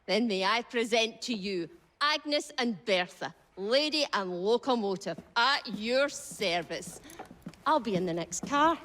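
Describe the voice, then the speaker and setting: Feisty, high-voiced Scottish train driver. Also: female voice, expressive, measured pace, fairly bright tone. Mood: brash. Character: feisty, blunt working-class Scottish woman train driver. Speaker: game character actor. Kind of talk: video game cutscene acting.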